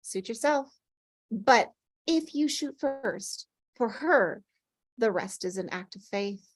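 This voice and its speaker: A woman's voice: fairly high pitch, expressive, takes it slowly. Woman acting wry, melancholy older lady ghost. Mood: coaxing, sly. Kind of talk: acted table read of a TV script.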